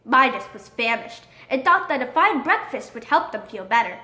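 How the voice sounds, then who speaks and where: High-pitched, animated woman storyteller. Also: normal speed. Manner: expressive, dramatic read-aloud delivery. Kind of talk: scripted children's storybook narration. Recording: roomy echo.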